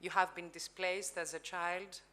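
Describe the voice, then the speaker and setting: A woman's voice: fairly low pitch, flat delivery, measured pace, bright, forceful tone. Polite Greek woman moderating a panel. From Greece. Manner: polite, careful, with restarts. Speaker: journalist, moderator. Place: conference stage.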